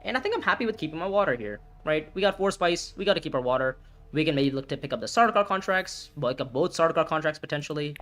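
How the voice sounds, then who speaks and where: A young man in his twenties calls out his own game live, and his voice sits a little high and leaps around as he reacts. He reasons through each move aloud and blurts out curses when a turn goes wrong. Soft music plays faintly underneath. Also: fast talker. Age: 20s. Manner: excitable, talks through moves, reacts loudly, swears. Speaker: streamer playing a ranked board game. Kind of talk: live gameplay commentary, thinking aloud.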